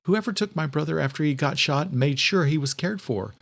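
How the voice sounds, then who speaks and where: A man's voice: fairly high pitch, lively intonation, a little fast, gravelly, fairly bright tone, voice pushed up, heavily acted. A man voicing a confident, insistent woman in an audiobook, explaining things emphatically.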